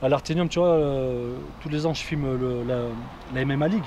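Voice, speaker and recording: A man's voice: medium pitch, brisk, warm tone. A man giving a casual product review chat in informal, slangy speech full of verbal tags. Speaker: video creator reviewing gear. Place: outdoors by a street. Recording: outdoors with faint traffic noise.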